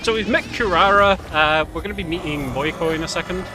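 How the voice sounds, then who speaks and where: A man's voice: on the high side, a little fast. A man giving casual commentary on a video game walkthrough as he plays. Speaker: speedrunner commentator. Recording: game music under the voice.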